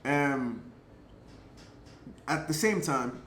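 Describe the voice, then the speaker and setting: A man telling a personal story casually to camera, chatty and animated, with lots of filler words. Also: mid-range voice, very slow. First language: English. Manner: chatty, lots of "like" and "um" fillers, laughs between thoughts; animated, gets louder at exciting moments. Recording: roomy echo, faint background noise.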